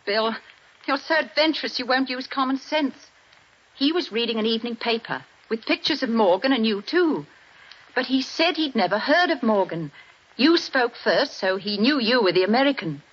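Frightened young wife, pleading. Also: female voice, fairly high pitch, animated, moderate pace, slightly rough. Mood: anxious. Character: frightened young wife telling detectives what she saw. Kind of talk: 1940s radio drama acting. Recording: dull 1940s radio broadcast.

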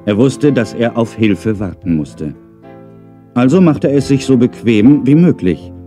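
A man's voice: mid-range voice, expressive, a little slow, mellow, faint music behind, lightly acted. A middle-aged man narrating a children's story in a playful way, with wry, chatty asides.

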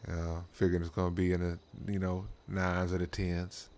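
A man's voice: low voice, monotone, moderate pace. A man giving laid-back, slangy running commentary on a game, talking mostly to himself. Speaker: streamer playing a drag racing game. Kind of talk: casual running gameplay commentary. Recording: loud car engine noise. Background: game car and engine sounds.

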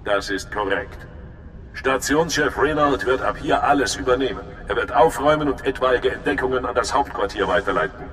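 A man's voice: fairly quick, a bit bright, lightly acted. Stern imperial overseer played by a man, very echoey. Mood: formal, businesslike. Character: stern, formal male imperial intelligence overseer. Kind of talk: dramatic sci-fi game dialogue. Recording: echoey hall with faint rumbling noise.

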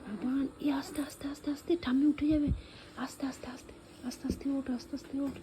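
A woman playing a young mother who whispers and hushes her child so as not to wake the grandmother. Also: a little high, moderate pace, noisy background, voice pushed down, lightly acted. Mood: hushed.

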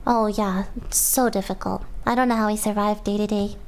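A woman's voice: medium pitch, steady tone, a little fast, a little hiss or hum, poor audio. A young woman bantering casually and teasingly while she streams a game. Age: young adult. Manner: playful, teasing.

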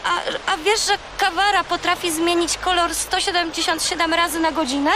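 A woman's voice: very high voice, steady tone, normal speed, background noise. A woman playing a brave, imaginative thirteen-year-old girl, eager and childlike, in a children's audio drama. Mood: earnest, excited.

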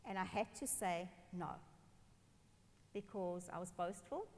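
A woman's voice: on the low side, unhurried. South African woman preaching, echoing hall. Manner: animated, expressive, gestures while speaking. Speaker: pastor. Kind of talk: personal sermon storytelling. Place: large dark church stage. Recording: long church hall reverb.

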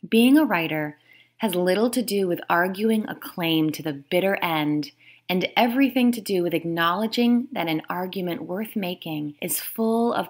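A woman author reading her nonfiction book aloud in a measured, explanatory way. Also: on the low side. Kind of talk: scripted read-aloud of nonfiction.

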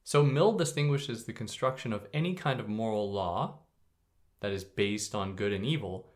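Soothing-voiced man explaining philosophy casually. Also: normal speed. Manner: relaxed, conversational, lively pitch jumps. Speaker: podcast host.

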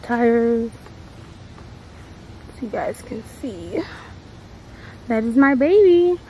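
A woman's voice: a little high, animated, extremely slow, mellow, a little hiss or hum, low-quality recording. A twenty-year-old woman vlogging in a bubbly, chatty way, swearing freely, talking into a phone held at arm's length. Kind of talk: excited casual vlog. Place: small home bathroom, then outdoors by a car. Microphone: phone camera at arm's length.

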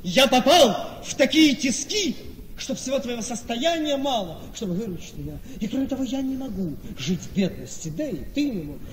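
In a reverberant hall with clear background noise, a vain gentleman husband speaks in a high voice. He is scheming and smoothly persuasive, stressing his words and pausing dramatically, as in a stage-like radio play. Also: big pitch swings. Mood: grave, pleading. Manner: emphatic, dramatic, with weighty pauses. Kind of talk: theatrical radio play acting. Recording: noisy, long echoing hall reverb.

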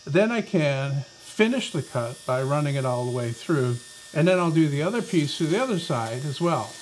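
A man's voice: fairly high pitch, moderate pace, noisy background. A middle-aged man hosting a woodworking lesson, explaining casually as he works at the saw in his shop. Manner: relaxed, explanatory, talks while working. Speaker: woodworking channel host. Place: small woodworking shop indoors.

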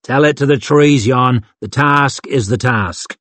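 A man's voice: mid-range voice, a little slow, fairly bright tone, no echo, natural voice. A man voicing a weary, blunt and sarcastic male leader of a war band in a fantasy audiobook. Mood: wry, firm. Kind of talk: audiobook character dialogue.

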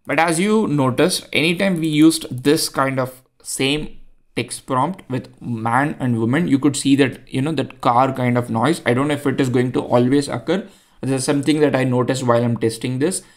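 Indian guy, chatty tutorial host, lots of um and like, mid-pitched. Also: normal speed. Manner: chatty, many fillers and restarts. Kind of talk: screen-recorded coding tutorial.

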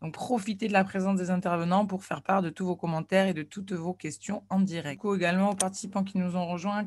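A woman's voice: on the low side, a little mellow. A young woman hosting a web conference as its moderator over a video call. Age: young adult. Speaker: webinar moderator. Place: indoor room at home.

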